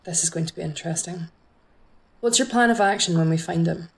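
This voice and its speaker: Woman doing a tough task force boss, lowish raspy voice, businesslike, amateur audio drama. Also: brisk. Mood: sceptical. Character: tough, no-nonsense female task force leader.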